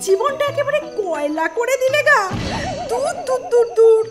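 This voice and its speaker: A woman's voice: extremely high pitch, expressive, moderate pace, loud music behind the voice. A woman voicing a long-suffering, sarcastic housewife in a cartoon, scolding dramatically. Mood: bitter sarcasm.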